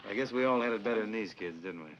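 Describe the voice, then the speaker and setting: A wry, easygoing priest, played by a man, talks in a relaxed way and slips in dry jokes. His voice is mid-pitched and steady. The sound is a dull, old film soundtrack with a faint hiss. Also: rapid-fire, lightly acted.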